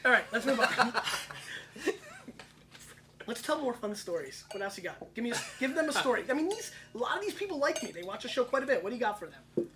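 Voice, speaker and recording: A man's voice: very high-pitched, very animated, noisy background. A man in his thirties hosting a wine tasting show, brash and joking as he chats. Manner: brash, rapid-fire, joking. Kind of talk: casual tasting chat.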